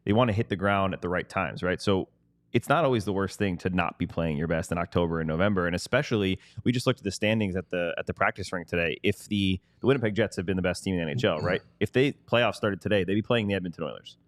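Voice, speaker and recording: Low-pitched man hosting sports talk. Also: fast talker, dry recording. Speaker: sports podcast co-host. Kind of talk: casual sports talk and ad reads.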